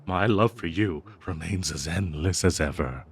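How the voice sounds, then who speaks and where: A man voicing a possessive, bloodthirsty, lovesick talking cursed dagger in an audio roleplay, speaking to the listener in a theatrical, doting yet menacing manner with halting hesitations. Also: low voice, vocal fry, slightly rough, full character voice. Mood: pitying, devoted.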